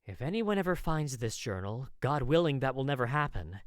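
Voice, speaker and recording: A man reads a story as a first-person journal, in an expressive, theatrical manner. His pitch moves around a lot, and he takes his time in a dry, close recording. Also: no room sound. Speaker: voice actor narrating fan fiction.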